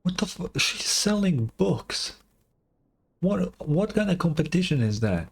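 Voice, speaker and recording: A man's voice: a little high, sing-song, unhurried, gravelly, a little hiss or hum. Blunt, casual male game streamer. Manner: blunt, sweary, skeptical. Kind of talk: casual live reaction commentary.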